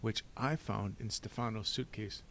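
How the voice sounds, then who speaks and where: A man voicing a calm, self-assured teenage girl in a storybook, composed and matter-of-fact. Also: on the low side, normal speed. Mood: calm, confident.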